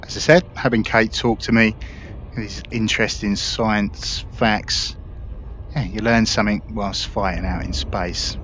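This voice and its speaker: A man hosting a gaming video with casual, relaxed, explanatory commentary. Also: on the low side, a little slow. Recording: slightly dull audio, faint game noise.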